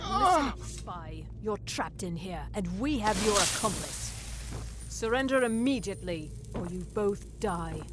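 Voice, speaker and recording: A woman's voice: mid-range voice, sing-song, a little slow, over music. A woman playing a stern, commanding elven officer in a fantasy video game. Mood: threatening.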